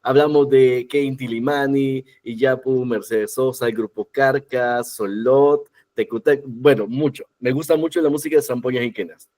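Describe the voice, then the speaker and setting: A man's voice: mid-range voice, steady tone, normal speed, few pauses, darkish tone. Enthusiastic man speaking from home. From El Salvador. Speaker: guest speaker, journalist and university teacher. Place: his home near a church.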